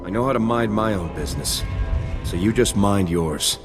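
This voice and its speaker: Actor as a cocky, blunt trooper, lowish voice. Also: male voice, lively intonation, normal speed, over music, natural voice. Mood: defiant. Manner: blunt, dry, insolent. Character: cocky, defiant male trooper sergeant. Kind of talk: video game cutscene acting.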